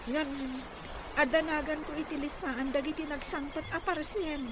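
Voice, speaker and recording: A woman's voice: high voice, fairly even intonation, normal speed, natural voice. A woman acting a dutiful, respectful young daughter in a radio drama, with an Ilocano accent. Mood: worried. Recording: clearly noisy ambience behind.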